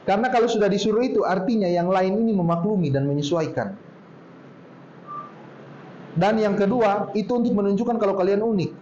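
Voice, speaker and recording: A man from Poso in Indonesia with a regional Poso accent, speaking casually to a group in a relaxed storytelling way and drawing out his words. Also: high-pitched, a little hiss or hum. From Poso, Central Sulawesi, Indonesia. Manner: chatty, drawn-out storytelling tone. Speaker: speaker at a talk. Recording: roomy, dull lo-fi sound with faint ambience.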